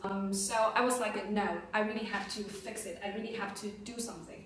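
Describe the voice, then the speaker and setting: A woman's voice: medium pitch, fairly even intonation, very fast, a crowd in the background. A young adult woman from China, a native Chinese speaker, co-hosting a casual chat in an upbeat, chatty way, often laughing. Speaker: co-host, language learner. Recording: echoey room, mic at a distance, faint noise.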